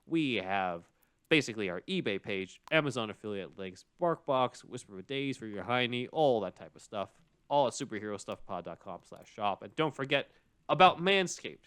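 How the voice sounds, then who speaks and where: A man's voice: lowish voice, expressive, normal speed, fairly bright tone, clear, resonant tone, no echo. A man co-hosting a podcast, reading out plugs in a casual, jokey way. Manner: jokey, bantering. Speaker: podcast co-host.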